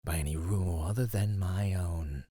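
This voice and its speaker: A man playing an ancient underground monster in an ASMR roleplay, speaking in a measured, menacing, teasing way with formal wording. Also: low-pitched, dark tone, breathy edge, dry recording, studio quality. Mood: coolly amused. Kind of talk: ASMR roleplay, slow intimate scripted acting.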